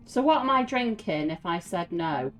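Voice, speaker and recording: Casting: female gamer, chatty and playful, slightly low voice, unhurried, reacting over soft game music. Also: lively intonation, rough recording. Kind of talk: casual playthrough commentary.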